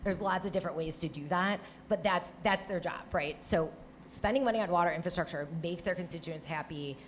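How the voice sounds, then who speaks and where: A woman with a Michigan accent speaks on a live panel, her voice sitting quite low. She talks conversationally, often restarting and checking in with a quick "right?". A faint hiss of noise sits behind her. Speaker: panelist, former Senate staffer.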